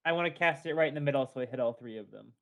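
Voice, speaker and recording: A man's voice: mid-range voice, very fast, a bit bright. A man playing an online tabletop game, talking casually in a matter-of-fact, questioning way.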